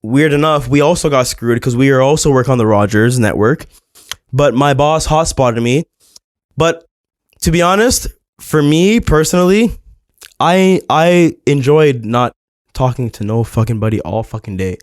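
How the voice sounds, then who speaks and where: A man's voice: mid-range voice, normal speed, dry recording, clean studio sound. A man co-hosting a podcast, telling stories in casual chat, swearing freely and stumbling over words. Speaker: podcast co-host.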